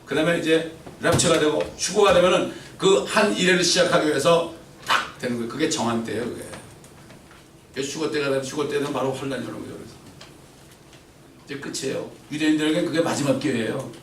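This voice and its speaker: A middle-aged pastor delivers an emphatic sermon, his voice sitting a little high and rising to loud peaks before dropping to quiet asides. He keeps turning questions back to the congregation. It is picked up from a distance in a roomy church, with a faint hum of ambience behind him. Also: brisk. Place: church sanctuary. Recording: roomy church echo from far off.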